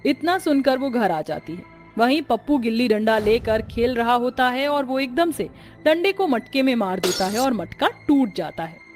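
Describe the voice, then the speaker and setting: A woman's voice: on the high side, expressive, normal speed, soft background music. A woman narrating a scripted family story as storyteller.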